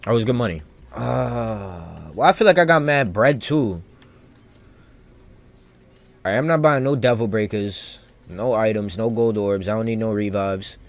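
A man streaming a video game with casual live commentary, rambling and thinking out loud in loose slang. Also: fairly low pitch, expressive, a little slow, vocal fry, pauses often.

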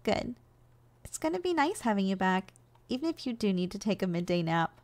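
A woman playing a gentle, doting giantess secretary in an ASMR role-play, coaxing and nurturing in manner. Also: medium pitch, very animated, brisk, close to an everyday voice. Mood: calm, soothing. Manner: soft, coaxing, nurturing, teasingly affectionate. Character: gentle, doting giantess secretary caring for her shrunken boss.

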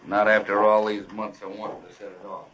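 An actor playing a rough American outlaw henchman with rustic cowboy talk in an old-time radio western. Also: lowish voice, level tone, brisk, fairly bright tone, muffled recording, close to an everyday voice. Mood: grim. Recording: noisy vintage radio recording.

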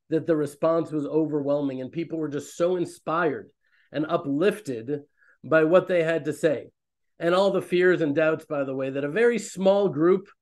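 A man in his thirties, a rabbi hosting an online fellowship, talking in a loose, rambling, animated way on a webcam from a small room. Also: a little high. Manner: rambling, animated, self-interrupting. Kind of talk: casual online talk to a community. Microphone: webcam on a video call.

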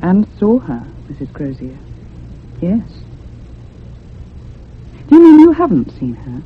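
A woman's voice: lowish voice, wildly swooping pitch, slow pace, full character voice. An actress playing an earnest, insistent young woman probing a ghostly mystery in a radio thriller. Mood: intent, anxious. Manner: earnest, pressing, questioning. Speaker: actor in a radio drama. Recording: muffled phone-like radio recording with hiss.

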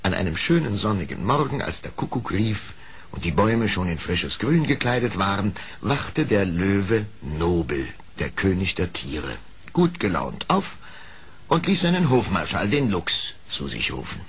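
A man narrating a scripted fairy tale in an expressive, measured storytelling manner. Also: deep voice, slight background noise.

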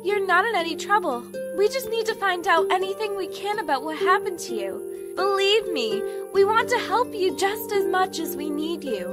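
A woman playing a gentle, reassuring young female soldier in an audio drama, polite and soothing. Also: extremely high pitch, expressive, normal speed. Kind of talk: amateur audio drama acting. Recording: loud dramatic music under voice.

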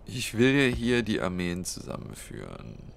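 A man's voice: lowish voice, huge pitch swings, unhurried, creaky. A man with a cold, casually thinking aloud while playing a strategy game, with lots of fillers. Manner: thinks aloud, many fillers, plans moves step by step. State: has a cold. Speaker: let's play host. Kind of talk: casual gameplay commentary.

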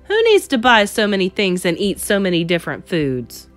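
A woman's voice: medium pitch, wildly swooping pitch, moderate pace. A kindly old street storyteller from a picture book, acted by a woman, wistful and a little grumbly, musing aloud in exclamations. Music plays behind the voice. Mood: bewildered, grumbling. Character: nostalgic, kindly old male Japanese storyteller, a bit grumbly. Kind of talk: storybook character voice in a read-aloud.

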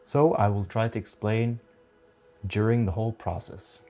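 A man in his forties with a Norwegian accent, giving a software tutorial in a methodical, halting way. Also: fairly low pitch, fairly even intonation, soft background music. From Norway. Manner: slow, methodical, halting explanations. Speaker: photography instructor.

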